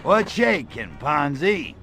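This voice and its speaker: A man voicing an irritable, lecherous little alien invader in a video game, gravelly, with a sarcastic, slangy tough-guy delivery. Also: a little high, sing-song, takes it slowly, fairly bright tone, exaggerated voice. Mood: wry, cocky. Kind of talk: video game cutscene acting.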